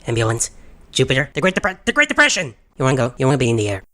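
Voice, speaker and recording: A man voicing an excitable robot in a video game parody, babbling in a disjointed, repetitive way. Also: medium pitch, rapid-fire, no room sound. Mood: excited babbling. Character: excitable, babbling male robot sphere speaking nonsense.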